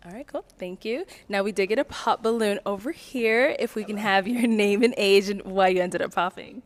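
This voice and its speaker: A woman hosting a dating game show, asking contestants questions and laughing lightly between them. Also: mid-range voice. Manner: upbeat, quick little laughs between questions.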